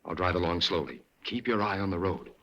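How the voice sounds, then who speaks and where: An actor playing a brisk, determined male crime-fighting investigator in a 1940s radio drama. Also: low-pitched, lo-fi sound, heavy background noise, natural voice. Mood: hushed. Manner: brisk, urgent, commanding.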